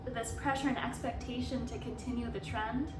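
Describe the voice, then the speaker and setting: A woman's voice: on the high side, steady tone, normal speed, roomy sound, background noise. Young woman interviewer, far from the mic. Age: 20s. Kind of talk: casual interview.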